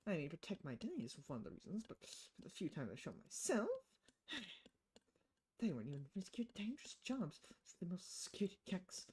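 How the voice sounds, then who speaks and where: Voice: medium pitch, big pitch swings, brisk, darkish tone, breathy edge. A streamer voicing a confident, sardonic young female hacker in a visual novel game. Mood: exasperated. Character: confident, flippant young woman freelance hacker.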